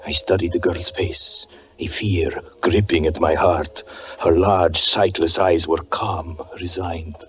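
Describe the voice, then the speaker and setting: Low grave doomed fortune teller, melodramatic old radio play, music under it, muffled. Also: male voice, fairly even intonation. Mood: haunted, grave. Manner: grave, halting, melodramatic delivery. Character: haunted, doom-laden male fortune teller recounting his tragedy. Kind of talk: 1940s radio drama acting.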